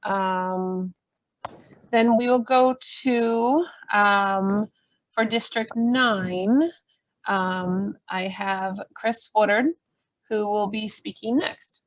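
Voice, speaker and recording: Woman, forum moderator, unhurried, informal and chatty, medium pitch, dull audio. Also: steady tone, dry recording.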